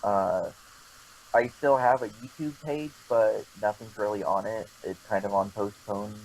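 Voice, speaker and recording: Male player in casual chat, creaky and fairly low, faint noise on the voice call. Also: fairly even intonation, measured pace, a little mellow, low-quality recording.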